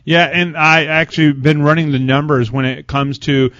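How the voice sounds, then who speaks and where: A man's voice: a little high, steady tone, moderate pace, a bit bright. A man hosting a sports talk show, interviewing a guest in a conversational way. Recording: muffled, roomy sound.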